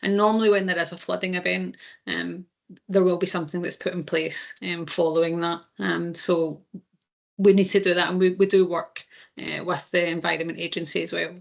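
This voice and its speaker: A Scottish woman presenting in a webinar, talking listeners through her slides in a steady, explanatory way. Her voice has a gravelly edge, and her pitch stays level and even throughout. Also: brisk. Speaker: insurance claims presenter.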